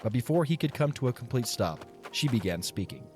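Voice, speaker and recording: A man narrating an audio drama in a measured, expressive storybook style. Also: mid-range voice, soft background music.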